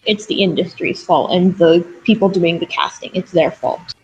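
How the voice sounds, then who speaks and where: A woman with a lisp and a very young-sounding voice, chatting quickly and casually as a co-host on a stream. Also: lowish voice, a little mellow. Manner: chatty and quick, with many likes. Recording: dull lo-fi mic with faint noise.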